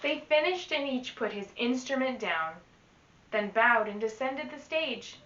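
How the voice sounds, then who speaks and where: A woman teaching artist reading to young children in an expressive, instructional way, enunciating each word. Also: on the high side, a little slow, slight background noise. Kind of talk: slow, enunciated children's read-aloud. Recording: echoey room, slightly dull sound.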